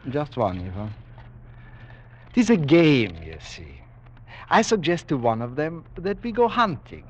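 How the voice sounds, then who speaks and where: An actor playing a suave, menacing aristocratic general who hunts men for sport, speaking with courtly, formal boastfulness in 1940s radio style. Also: male voice, a little high, very animated, a little slow, breathy edge, heavily acted. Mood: urbane, sinister. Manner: courtly, formal, coolly boastful, savoring his words. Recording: dull vintage 1940s radio broadcast.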